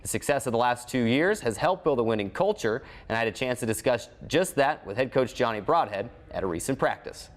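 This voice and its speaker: A man's voice: medium pitch, animated, fairly quick, hardly any pauses. An American male sports host narrates in a middle register. He presents scripted news and previews as a show's reporter.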